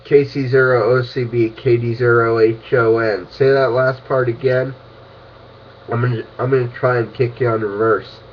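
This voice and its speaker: A young man with a medium-pitched voice talks over a two-way radio, slightly noisy and muffled. His manner is casual and agreeable, in the loose style of operators chatting on air. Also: little pitch movement, moderate pace. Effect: two-way ham radio. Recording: muffled radio audio, faint noise.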